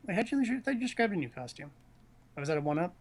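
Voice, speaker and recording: A man's voice: sits high, very animated, racing, darkish tone. A man casually chatting as he watches a video game, teasing and joking in slangy talk. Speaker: commentator on a video game stream.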